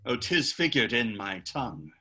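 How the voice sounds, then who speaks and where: A man's voice: mid-range voice, big pitch swings, normal speed, bright, forceful tone. Man playing a hot-tempered Elizabethan playwright. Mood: pleading. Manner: declaims archaic verse, swings to furious hissing. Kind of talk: audio drama acting.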